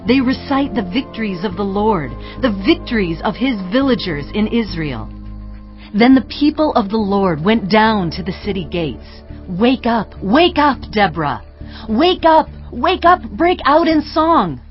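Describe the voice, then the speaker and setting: A prophetess and leader, solemn and exhorting. She declaims scripture at a medium pitch with real authority, over music in a slightly dull recording. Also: big pitch swings, a little slow. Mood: exalted, declaiming. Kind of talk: dramatized audio Bible acting, declamatory.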